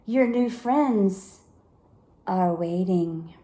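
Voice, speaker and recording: A woman voicing an eerily calm, cold and distant girl from a storybook nightmare, speaking with slow menace. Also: medium pitch, reverberant. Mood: eerily welcoming.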